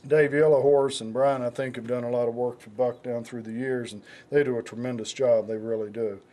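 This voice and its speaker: A man with a hoarse, raspy voice from the flu, hosting a casual knife show with rambling talk and many fillers. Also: mid-range voice, fairly even intonation, fairly quick, mellow. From United States. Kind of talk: casual product demonstration.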